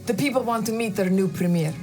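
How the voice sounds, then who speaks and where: An actress playing a loyal Soviet military officer in a video game, giving solemn briefings. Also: female voice, mid-range voice, quick pace, over music, close to an everyday voice. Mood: solemn, earnest. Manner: earnest, solemn.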